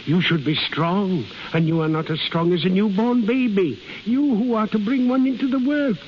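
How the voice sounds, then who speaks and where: A man playing a high-voiced, kindly old innkeeper. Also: moderate pace, close to an everyday voice. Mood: chiding, fond. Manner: quaint foreign phrasing, fatherly. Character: kindly fussing old Swiss innkeeper, devoted and blunt. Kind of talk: 1930s radio acting. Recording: muffled, hissy 1930s radio recording.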